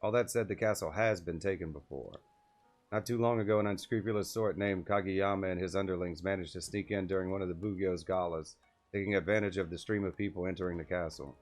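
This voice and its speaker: Man voicing a formal, low-voiced castle gate guard. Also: close to an everyday voice. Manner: formal, wordy. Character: talkative castle gate guard, apologetic and proudly explanatory.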